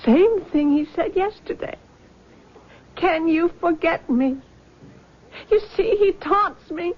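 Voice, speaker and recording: A woman's voice: very high-pitched, slow pace. An actress playing a nervous, troubled young woman in a radio drama, hesitant and stammering. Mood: frightened, agitated. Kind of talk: 1970s radio drama acting. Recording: muffled, noisy old radio recording.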